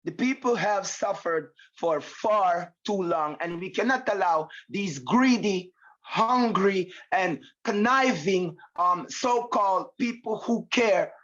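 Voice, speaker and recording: A high-pitched man with a Jamaican accent talks as a musician being interviewed. He drives points home emphatically, repeating phrases for weight. The sound is dull and lo-fi. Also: expressive, slow pace, a bit bright. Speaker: musician guest. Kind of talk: interview conversation.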